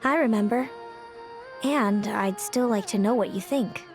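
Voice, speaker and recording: A woman playing a solemn, fatalistic young seeress in a video game, speaking in a measured, brooding, formal way. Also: medium pitch, over music, close to an everyday voice. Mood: reserved. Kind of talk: dramatic video game voice acting.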